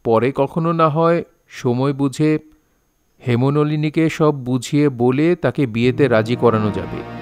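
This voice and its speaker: A man playing a revered storyteller-author, measured and pompous, narrating a literary audio drama in formal style. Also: mid-range voice, faint music behind, close to an everyday voice. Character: lofty storyteller-author revered as master, imperious and stern.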